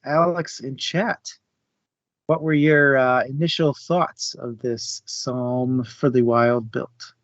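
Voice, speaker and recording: A man with a mid-pitched voice hosts a casual book talk. He speaks in measured, halting phrases. The recording is dry and a little dull, with a lo-fi finish. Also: fairly even intonation, dry recording. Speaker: host of a book discussion show. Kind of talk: casual book discussion for a live stream.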